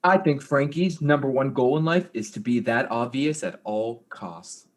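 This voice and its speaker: A man's voice: mid-range voice, moderate pace, close to an everyday voice. A man playing a timid, eager detective's helper in his thirties, hesitant, in a comic audio drama. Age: 30s.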